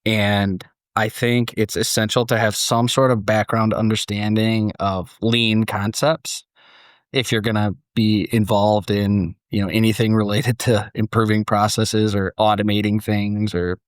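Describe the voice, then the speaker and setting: A man's voice: lowish voice, level tone, a little slow, no echo, clean studio sound. A man with an American accent hosting a podcast in a chatty, jokey, casual way. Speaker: podcast host.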